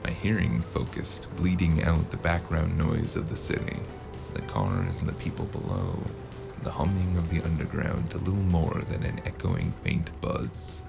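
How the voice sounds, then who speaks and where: Deep gravelly noir narrator, man. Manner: measured, gritty first-person narration. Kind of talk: noir audio drama narration. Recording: loud music behind.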